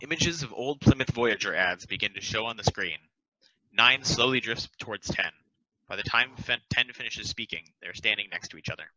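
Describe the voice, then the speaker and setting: A man's voice: fairly low pitch, expressive, normal speed, sharp tone, no echo. A young man reading stage directions aloud as scripted narration over a video call. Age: young adult. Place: home room, over a group video call. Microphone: headset on video call. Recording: dull, band-limited video call.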